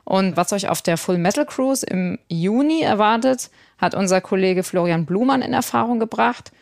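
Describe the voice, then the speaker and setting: A woman's voice: medium pitch, expressive, moderate pace, creaky, a little mellow, dry recording, clean studio sound. A woman co-hosting a music podcast, presenting reviews and previews of events.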